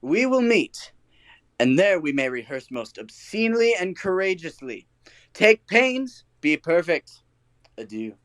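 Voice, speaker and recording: A man's voice: high voice, big pitch swings, measured pace, fairly bright tone, no echo, exaggerated voice. A man playing a boastful, eager workman and amateur actor, showy and theatrical, in a comic Shakespearean audio play. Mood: hearty.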